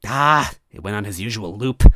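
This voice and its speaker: A man's voice: lowish voice, expressive, moderate pace, pushed and bright, no room sound. A man playing a mischievous, mocking ghost in a story reading, with a slangy, teasing, casual manner. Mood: breezy, casual. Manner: slangy, teasing, gleeful drawl.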